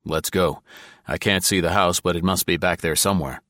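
A man voicing a calm, easygoing young man in a storybook reading. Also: moderate pace, dry recording, studio quality. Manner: cheerful, low-key.

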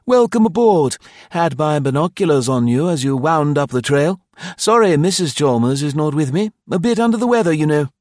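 Audiobook role performed by a man: hearty British host, jaunty and clipped, voice lifted high above natural register. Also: animated, normal speed, a little mellow, no echo, clean studio sound, natural voice. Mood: cheerful, welcoming. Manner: jaunty, effusively polite, clipped phrases. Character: cheerful, fussy, hearty British male guesthouse host. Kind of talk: audiobook character voice.

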